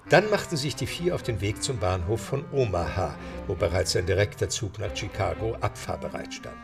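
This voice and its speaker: A man's voice: fairly low pitch, gravelly. A man narrating a scripted audio drama as storyteller.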